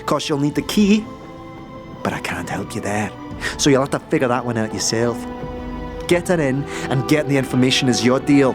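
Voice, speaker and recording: A man's voice: medium pitch, lively intonation, moderate pace, over music, voice pushed up, natural voice. A man voicing a sardonic, wily boss of slum corpse collectors in a fantasy audio drama, bargaining in thieves' cant. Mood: brisk, secretive.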